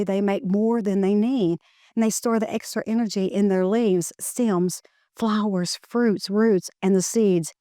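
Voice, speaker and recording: A woman reads a children's educational picture book aloud in measured, deliberate phrasing. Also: mid-range voice, darkish tone, no echo, clean studio sound.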